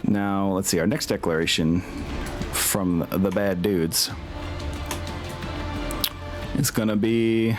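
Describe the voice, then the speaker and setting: Deep-voiced man running a tabletop game, music behind. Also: steady tone, slow pace, pauses often. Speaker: game master. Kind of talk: casual tabletop wargame session.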